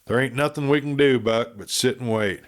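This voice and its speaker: A man's voice: mid-range voice, moderate pace, voice pushed up, close to an everyday voice. A man voicing a worried, tender old rancher father with folksy frontier speech in a western audiobook. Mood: grave.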